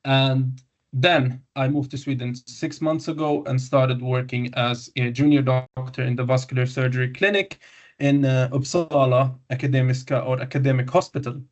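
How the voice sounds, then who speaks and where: A man in his twenties from Syria, a doctor giving an informal webinar presentation, halting with many fillers and self-corrections over a video call. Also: medium pitch, flat delivery. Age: 20s. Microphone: video call microphone. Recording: muffled lo-fi webinar audio.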